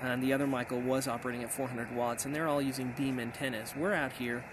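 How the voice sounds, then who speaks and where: A man's voice: mid-range voice, little pitch movement, fast. A middle-aged American man giving an enthusiastic, casual summary as an amateur radio operator vlogging. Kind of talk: casual outdoor vlog talk, summarizing. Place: outdoors in hilly backcountry. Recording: outdoor noise behind the voice.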